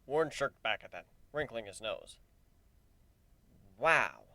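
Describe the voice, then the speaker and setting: A man's voice: fairly low pitch, lively intonation, normal speed, a bit bright, no room sound. A man narrating a story as a scripted dramatic reading.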